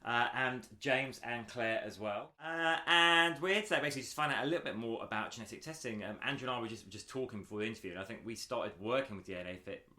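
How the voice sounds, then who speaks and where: A man's voice: mid-range voice, fast talker, hardly any pauses, bright, forceful tone. A middle-aged man co-hosting a podcast interview, talking with many ums and restarts. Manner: hesitant, filler-heavy. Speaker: podcast co-host. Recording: echoey room.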